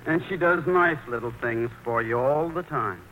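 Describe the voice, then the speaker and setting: A man's voice: medium pitch, normal speed, lo-fi sound, lightly acted. An actor playing a timid, hesitant grown son tied to his mother, in 1940s radio acting style. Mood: anxious, whiny. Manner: hesitant, stammering, bashful. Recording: noisy old radio broadcast recording.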